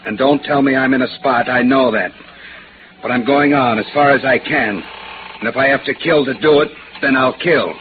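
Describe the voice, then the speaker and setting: An actor playing a bitter, lovestruck American embezzler on the run, defensive and resigned, in 1950s radio noir. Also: male voice, mid-range voice, moderate pace, natural voice. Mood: cornered, menacing. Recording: noisy, narrow-band vintage radio recording.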